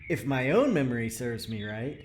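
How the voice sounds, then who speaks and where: A man's voice: mid-range voice, very animated, normal speed, a little hiss or hum, natural voice. An actor playing a wry, concerned man who monitors a colleague from outside in an audio drama. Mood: probing. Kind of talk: naturalistic audio drama acting.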